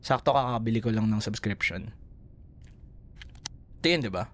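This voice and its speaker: A man's voice: fairly low pitch, moderate pace, natural voice. A man with a Filipino accent playing an affectionate, playful young boyfriend comforting a scared partner in an intimate ASMR roleplay. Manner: teasing, tender, chuckles often. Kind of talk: ASMR roleplay, intimate one-sided dialogue.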